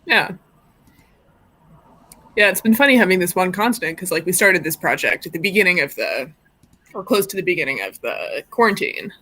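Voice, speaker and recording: A woman's voice: fairly low pitch, lively intonation, moderate pace, long pauses, sharp tone, husky. A woman hosting an informal live stream discussion in a casual, conversational way.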